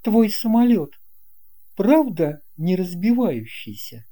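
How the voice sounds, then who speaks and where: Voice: mid-range voice, very animated, slow pace, mellow, pitched above the performer's own voice, heavily acted. A narrator voicing a curious, polite little boy in a storybook, earnest and questioning.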